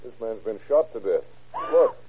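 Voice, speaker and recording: An actor playing a shrewd, terse police investigator in an old-time radio crime drama, reasoning matter-of-factly. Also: male voice, fairly low pitch, huge pitch swings, moderate pace, a little hiss or hum. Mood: firm, questioning. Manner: matter-of-fact, terse, deductive. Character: shrewd, hard-boiled male police investigator. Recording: muffled old radio recording.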